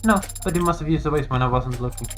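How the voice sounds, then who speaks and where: A man's voice: fairly high pitch, quick pace, faint music behind, natural voice. A man voicing an earnest, loyal android who speaks in a formal, protesting way for a video game. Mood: earnest, puzzled. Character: earnest, defensive, loyal android devoted to its creator.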